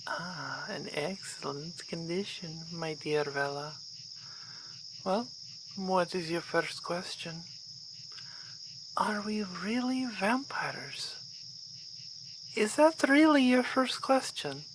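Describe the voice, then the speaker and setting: Actress as vampire baroness for ASMR roleplay: courtly, coolly teasing, very unhurried delivery, clear cricket noise around her. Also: on the low side, animated. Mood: amused, courtly. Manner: formal, measured, drawn-out theatrical phrasing. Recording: outdoor ambience with clear insect noise.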